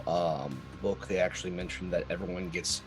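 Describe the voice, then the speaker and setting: A man playing in a tabletop game, talking through rules in a measured, hesitant way with frequent ums. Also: sits low, fairly even intonation, over music. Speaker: player. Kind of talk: casual chat while building a character.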